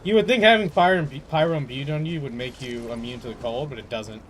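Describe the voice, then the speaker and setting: A man's voice: medium pitch, fast talker, slight background noise. A man giving casual gameplay commentary, musing aloud and rambling as he plays. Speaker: game streamer. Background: game music.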